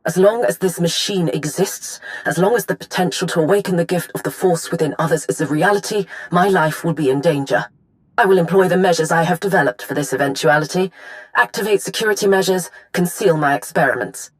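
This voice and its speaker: A woman playing a solemn, secretive Sith lord in a video game monologue, speaking formally and gravely. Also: lowish voice, a little fast, close to an everyday voice, poor audio. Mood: grave, resolved.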